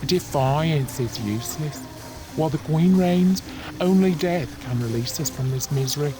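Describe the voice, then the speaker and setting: A man's voice: fairly high pitch, a little slow, music in the background, natural voice, low-quality recording. A man playing a downtrodden, fearful villager in a fantasy video game, solemn, mournful and defeatist. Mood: fearful warning. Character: downtrodden, fearful, despairing male villager of Wonderland. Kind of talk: video game character acting.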